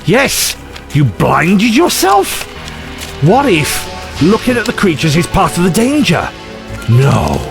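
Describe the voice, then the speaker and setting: Man playing an animated, rambling eccentric adventurer. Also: high-pitched, takes it slowly, creaky, over music, full character voice. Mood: panicked, urgent. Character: eccentric, excitable, rambling male time-travelling adventurer. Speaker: lead actor in an audio drama. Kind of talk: audio drama acting.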